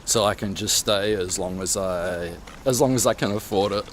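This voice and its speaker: A man's voice: normal speed, a little hiss or hum. A man, born in Hong Kong, chatting casually as he live-streams a walk outdoors at night. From born in Hong Kong, grew up in Australia. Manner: chatty, easygoing, talks to cats in a high voice. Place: rural roads outdoors at night. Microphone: mobile streaming rig.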